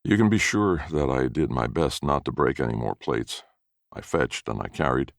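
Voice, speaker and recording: A man's voice: rumbling low, moderate pace, vocal fry, no echo, studio quality. A man reading a first-person story as narrator in a folksy storytelling manner.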